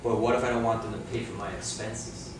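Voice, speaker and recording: A man's voice: lowish voice, little pitch movement, fairly quick, lightly acted. A man playing a cocky but naive middleweight boxer in a staged reading, with a defensive, questioning manner. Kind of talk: staged reading of a boxing drama. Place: live staged reading in a roomy indoor space. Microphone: distant video camera. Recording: echoey, noisy room, distant camera microphone.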